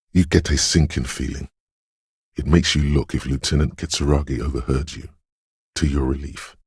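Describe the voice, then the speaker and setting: Game role: ominous dreamlike inner voice, very raspy, unhurried, close and dry, cryptic and hushed in its intensity. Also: male voice, no echo, heavily acted. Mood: somber. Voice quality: very deep, gravelly, husky. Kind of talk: dramatic game narration.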